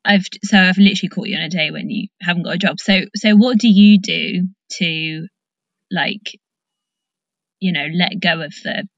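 A woman's voice: on the low side, normal speed, vocal fry, no echo, highs cut off. A woman from the English Midlands hosting a casual conversation, feeling her way through her sentences. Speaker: podcast host, actor.

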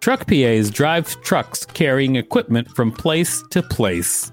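A man's voice: mid-range voice, animated, slow, faint music behind. A man co-hosting a podcast, reading an article aloud with casual commentary. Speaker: podcast co-host.

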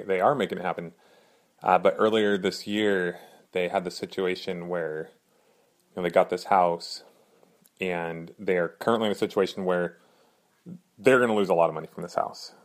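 A man hosting his own podcast in earnest, rambling casual talk, a little hoarse from a cold. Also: low voice, steady tone, normal speed. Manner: earnest, rambling, frequent fillers and restarts. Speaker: podcast host.

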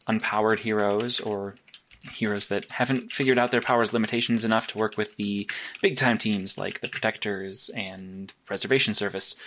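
An actor playing a nervous, earnest superhero investigator in an audio drama, speaking in a halting, analytical way. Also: male voice, on the low side, fairly bright tone, slight background noise, close to an everyday voice. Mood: earnest, absorbed. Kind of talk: naturalistic audio drama monologue and phone calls.

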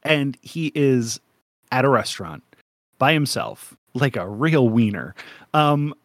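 A young man co-hosting a casual podcast, rambling through jokes and breaking into laughs. His voice sits at a middling pitch and is recorded very dry, close to the mic with no room sound. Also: expressive, takes it slowly, dry recording. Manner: jokey, rambling, laughs often. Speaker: podcast co-host.

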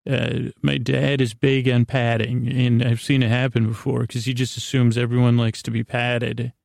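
Creaky, meandering man lulling listeners. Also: medium pitch, level tone, a little mellow, no room sound, studio quality. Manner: meandering, halting, often trailing off mid-thought; soothing, lulling delivery. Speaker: podcast host. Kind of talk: rambling bedtime podcast monologue.

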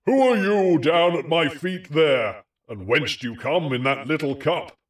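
A man voicing an immense, weary giant in a storybook, boastful and hearty, with a grandly deliberate delivery. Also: animated, a little mellow, recorded from a distance, lightly acted. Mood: booming challenge. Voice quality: big, deep, rough voice as scripted.

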